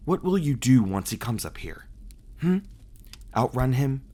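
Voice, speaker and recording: A man's voice: mid-range voice, sing-song, measured pace, dark tone. Male actor as vampire, brooding, bitter, resentful, ASMR roleplay drama. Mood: angry, accusing. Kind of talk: ASMR audio roleplay acting.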